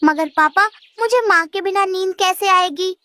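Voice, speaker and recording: Tiny sweet girl bird for a cartoon, super high and bright, innocent kiddie talk. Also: unhurried, exaggerated voice. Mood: worried, innocent. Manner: childlike, earnest. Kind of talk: animated story dialogue.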